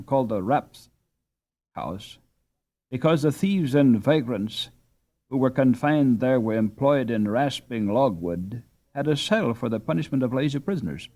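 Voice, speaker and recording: A man's voice: medium pitch, darkish tone. A man reading a classic book aloud as scripted audiobook narration.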